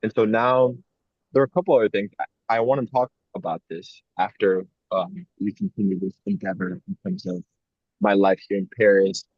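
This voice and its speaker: A man's voice: on the low side, animated, normal speed, vocal fry, husky, no room sound, muffled recording. A young man co-hosting a podcast, talking in a casual, rambling and reflective way with frequent "like" fillers. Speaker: podcast co-host.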